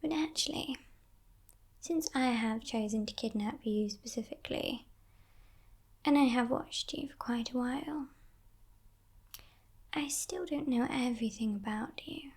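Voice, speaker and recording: A woman voicing a playful, menacing female demon in a breathy, teasing whisper for an ASMR roleplay. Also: a little high. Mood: teasing, curious. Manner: teasing, condescending, softly sinister, unhurried.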